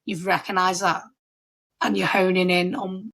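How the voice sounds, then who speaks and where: A woman's voice: fairly low pitch, little pitch movement, unhurried, a bit bright. A woman with a Scouse accent hosting a podcast interview, chatty and encouraging, often restarting her sentences. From Liverpool area. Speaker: podcast host.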